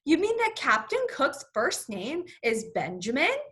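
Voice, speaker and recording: A woman's voice: high voice, huge pitch swings, unhurried, fairly bright tone, voice pushed up, full character voice. A woman reading aloud as a polite, obtuse and officious telephone clerk in a children's storybook. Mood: inquiring. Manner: officious, mishearing.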